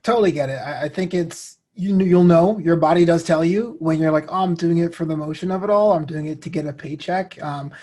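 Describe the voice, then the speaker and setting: A man with a husky voice talks as a guest in a relaxed interview. He reflects at an easy conversational level and slips into quoted asides as he explains himself. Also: a little high, a little fast, hardly any pauses.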